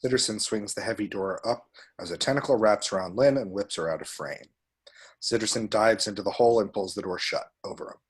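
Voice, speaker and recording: A man with a husky voice reads screenplay action descriptions aloud as a narrator. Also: on the low side, fairly quick. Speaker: table-read action narrator.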